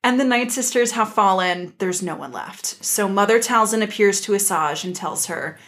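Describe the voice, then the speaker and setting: A woman's voice: medium pitch, normal speed, a bit bright. A woman co-hosting a podcast, giving an enthusiastic, casual story recap in a playful, slangy way. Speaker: podcast co-host.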